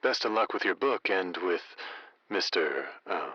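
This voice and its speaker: An actor playing a wry, laid-back late-night radio host in an audio drama. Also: male voice, low-pitched, animated, a little slow, sharp tone, no room sound, muffled recording, full character voice. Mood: wry, polite.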